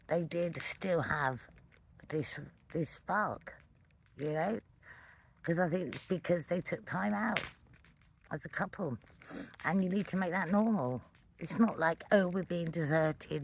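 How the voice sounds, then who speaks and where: A middle-aged storyteller rambles casually with a playful, wandering delivery. The voice sits at a middling pitch between male and female ranges. There is clear noise and some clicking in the recording. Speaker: vlogger telling a personal story. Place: outdoors at night beside a fire.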